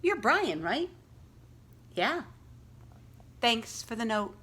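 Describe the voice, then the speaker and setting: An eager young schoolkid from a storybook as acted out by a woman reader. The delivery is chatty, animated and emphatic, childlike in its phrasing. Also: a little high, very slow, slightly rough, pitched above the performer's own voice, full character voice. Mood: friendly.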